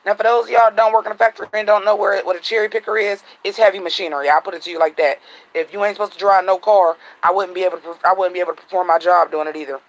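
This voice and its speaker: A man vlogging at home at night, venting bluntly and profanely in a casual monologue, his voice a little raspy. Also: high voice, steady tone, rapid-fire, poor audio.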